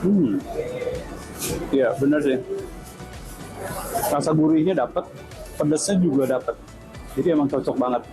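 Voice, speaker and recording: A man's voice: on the high side, a little slow, long pauses, over music, rough recording. A man working as a field reporter, presenting in a casual, informal style.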